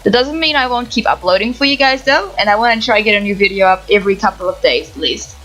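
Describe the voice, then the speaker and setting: A young woman from the Netherlands with a Dutch accent, calmly reading out answers to viewer questions for her gaming channel. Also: medium pitch, quick pace, faint music behind, poor audio. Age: young adult. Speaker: gaming YouTuber. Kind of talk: reading Q&A answers to camera, no face cam. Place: home setup, voice-over without camera.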